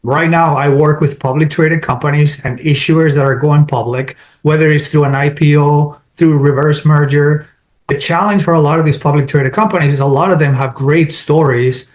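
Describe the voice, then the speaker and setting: A man from Colombia with a Colombian Spanish accent, answering interview questions in an orderly way, laying out his points one by one. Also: fairly high pitch. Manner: structured, lists points in order. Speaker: digital marketing consultant.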